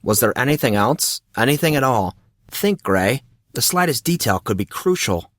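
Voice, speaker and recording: A man voicing a teasing, excitable teenage schoolboy in an audio drama, questioning and exclaiming with mock drama. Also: medium pitch, normal speed, no room sound, clean studio sound. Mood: insistent. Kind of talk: audio drama character dialogue.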